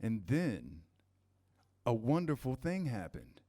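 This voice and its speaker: A man's voice: mid-range voice, huge pitch swings, slow, mellow. A man reading scripted storybook narration in a staged reader's theater style.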